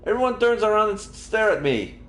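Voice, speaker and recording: A man streaming a read-aloud of a visual novel, narrating in an expressive storytelling style. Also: sits high, a little fast. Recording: roomy sound, faint background music.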